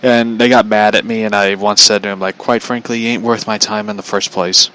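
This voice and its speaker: An American man with a fairly low but bright, forward voice tells a story off the cuff. He rambles casually and talks bluntly, in loose slang peppered with swearing. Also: flat delivery, fairly quick, clear, resonant tone, low-quality recording. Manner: chuckles often while talking. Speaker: show host and storyteller.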